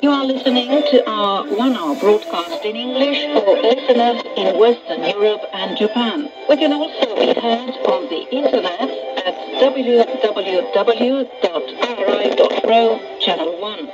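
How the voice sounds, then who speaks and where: Woman, radio announcer, scripted announcement, lo-fi radio sound, loud music. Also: a little high, lively intonation, measured pace, hardly any pauses.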